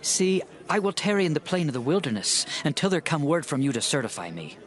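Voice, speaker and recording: A man's voice: a little high, big pitch swings, fast talker. A man playing a devout, sorrowful but resolute king, declaiming fervently in a dramatized scripture reading. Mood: resigned, resolute. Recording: loud music behind the voice. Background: music.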